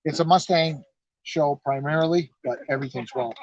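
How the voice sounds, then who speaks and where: A man's voice: on the high side, a little fast, no echo. A middle-aged man, president of a car club and owner of a garage, chatting in an easy, folksy way during an outdoor interview at an evening car show. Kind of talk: casual on-site interview. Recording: dull lo-fi live stream sound.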